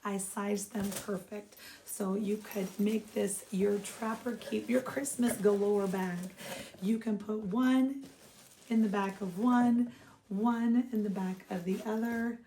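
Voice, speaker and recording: A woman hosting a casual craft vlog, her voice hoarse and froggy, chatting upbeat with viewers she calls friends. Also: mid-range voice, steady tone, slow, dark tone, slight background noise, poor audio. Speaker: craft shop owner and vlog host. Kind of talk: casual show-and-tell vlog.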